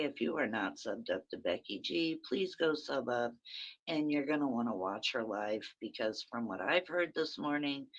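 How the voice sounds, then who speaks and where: A woman with a very raspy voice, hosting a rambling, chatty early-morning livestream from her phone and talking to viewers by name. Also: rumbling low, lo-fi sound. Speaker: livestream host.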